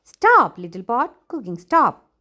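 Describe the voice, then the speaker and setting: A woman's voice: on the high side, sing-song, a little slow, bright, forceful tone, pitched above the performer's own voice, heavily acted. A woman voicing a little girl in a storybook who chants emphatic commands to a magic pot. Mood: firm command.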